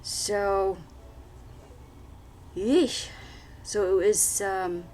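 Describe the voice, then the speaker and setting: A woman's voice: medium pitch, drawn-out words, a little mellow. A woman vlogging a casual book haul, chatty and full of fillers, her voice a little scratchy after being sick. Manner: chatty, lots of fillers and restarts. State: slightly scratchy voice after illness. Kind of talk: casual book haul chat with read-out blurbs.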